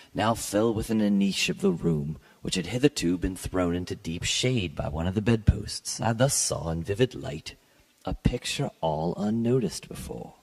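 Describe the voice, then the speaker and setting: Deep, gravelly man reading gothic literature. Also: a little mellow. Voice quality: gravelly, husky. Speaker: podcast host and story reader. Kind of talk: dramatic literary reading, scripted narration.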